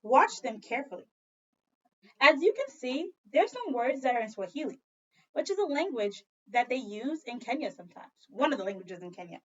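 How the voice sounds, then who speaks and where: A woman with a high voice reads to young children as their teacher, her intonation swinging widely. She pauses to ask questions and repeat things for the class. The audio is slightly dull and lo-fi, like a home video. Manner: addresses young children directly, with prompting questions and repetition; expressive storybook reading. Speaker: second grade teacher. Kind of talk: children's read-aloud lesson.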